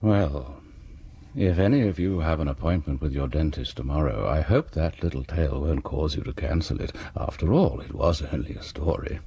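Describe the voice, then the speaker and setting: A man's voice: rumbling low, lively intonation, moderate pace, warm tone, husky, highs cut off. A man hosting a radio horror series, reading a scripted outro with a wry, sinister storyteller's delivery. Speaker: drama series host and announcer.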